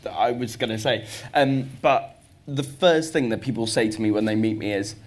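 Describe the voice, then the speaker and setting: A man's voice: medium pitch, normal speed. A young man speaking as a panel guest in an earnest, easygoing way with long run-on sentences. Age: young adult. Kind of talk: panel discussion.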